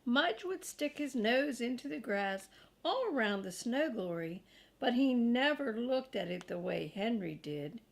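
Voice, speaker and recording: A woman's voice: on the high side, lively intonation, unhurried, vocal fry. An older woman reading a children's storybook aloud as a grandmother, expressively and with casual asides.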